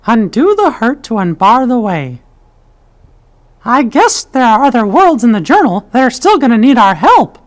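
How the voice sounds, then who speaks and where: A man's voice: extremely high pitch, sing-song, moderate pace. An actor playing a cheerful, brave male mouse king who reassures his friends, in a game cutscene. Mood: reassuring.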